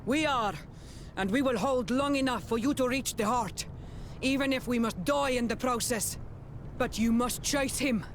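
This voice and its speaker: An embattled, fierce island warrior in a video game. The voice is high for a man, urgent and resolute, with a faint rumble behind. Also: moderate pace, a bit bright. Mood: urgent defiance.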